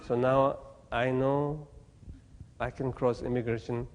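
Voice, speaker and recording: A man from Tibet, giving a Buddhist teaching in halting, plain English with gentle humor. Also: medium pitch, flat delivery, big-room sound, slight background noise. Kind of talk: dharma talk to an audience. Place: talk venue with audience.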